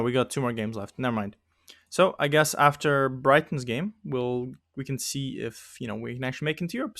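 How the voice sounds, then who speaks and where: A man's voice: mid-range voice, a bit bright, dry recording. Chatty young man, dry close mic. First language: not English. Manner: rambling, self-mocking, laughs often. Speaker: gaming YouTuber. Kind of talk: casual gameplay commentary.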